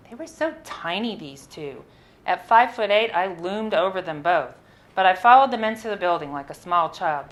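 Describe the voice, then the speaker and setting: A woman with a slightly low voice reads a personal narrative aloud as its writer. Her delivery is measured and expressive, shaped for a live literary reading, with an occasional stumble over a word. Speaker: writer reading own story.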